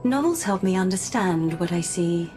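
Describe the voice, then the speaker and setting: A polite, mind-reading robot woman, performed by an actress for a radio play. Her voice sits on the low side and stays measured and composed. She words things formally and precisely, over soft music. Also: animated, natural voice. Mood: calm, reflective. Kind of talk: scripted radio drama acting. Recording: music playing underneath.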